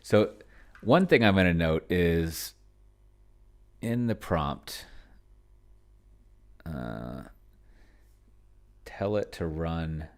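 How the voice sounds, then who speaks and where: A man's voice: low voice, expressive, extremely slow, creaky, pauses often, darkish tone. A man giving casual think-aloud commentary on a game, musing to himself and trailing off. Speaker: solo tabletop game player and demonstrator.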